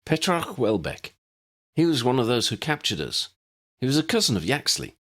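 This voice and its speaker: A man's voice: mid-range voice, very animated, normal speed, fairly bright tone, voice pushed up. A man voicing a guarded, matter-of-fact male wizard teacher with a clipped, serious manner in a storybook.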